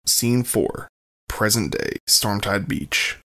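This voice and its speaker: A man's voice: on the low side, animated, slow, pushed and bright. A man giving scripted scene-setting narration for an audio drama.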